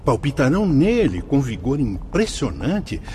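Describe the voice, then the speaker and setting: Earnest spirit guide, Brazilian Portuguese accent, formal and didactic, lively intonation, radio drama, faint background noise. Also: male voice, mid-range voice, a little slow. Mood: grave, reassuring. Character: earnest, kindly male spirit guide and watchtower official.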